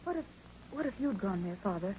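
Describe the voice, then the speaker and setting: An actress playing an anxious young explorer's daughter, fretful and halting, in a 1930s radio drama. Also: female voice, mid-range voice, lively intonation, warm tone, slightly rough. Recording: dull lo-fi 1930s radio recording.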